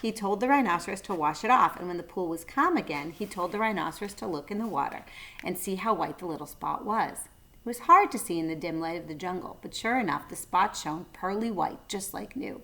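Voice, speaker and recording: A woman's voice: on the low side, animated, a little fast, fairly bright tone. A woman reading a children's storybook aloud, adding short asides for young listeners.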